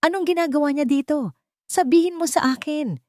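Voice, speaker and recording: A frightened young wife as a woman narrator plays her in a melodramatic audio story. Her voice rises high and trembles, stammering as she pleads in disbelief. The sound is dry and close. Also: brisk, no room sound, lightly acted. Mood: confused, distressed. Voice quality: trembling, breaking voice.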